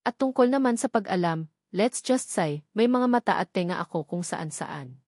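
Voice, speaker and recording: A man's voice: high-pitched, lively intonation, normal speed, a little mellow, no echo, clean studio sound. Audio drama security agent, male, read by a woman: loyal, discreet, courteous, sincere. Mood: guarded, assured. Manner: formal, polite, sincere.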